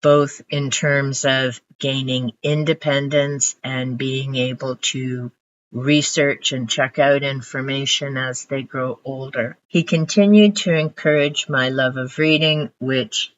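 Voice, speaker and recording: A woman's voice: a bass voice, fairly even intonation. An older woman whose first language is Hungarian, reflecting on her favourite books in a casual vlog to camera. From Canada. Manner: reflective, unhurried storytelling. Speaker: book vlogger. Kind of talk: casual book talk to camera. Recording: muffled lo-fi audio.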